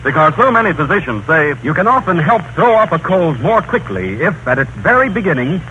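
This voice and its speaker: An American man working as a 1930s radio announcer, delivering scripted banter and a sponsor pitch. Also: sing-song, brisk, bright, forceful tone. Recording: muffled, slightly noisy 1930s radio broadcast.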